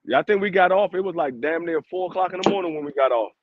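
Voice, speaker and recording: A man's voice: high voice, quick pace, muffled recording, a loud crowd. A man, co-hosting a podcast and chatting casually, joking and insisting, laughing often. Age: 40s. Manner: joking, emphatic, laughs a lot. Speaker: podcast co-host. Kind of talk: casual chat.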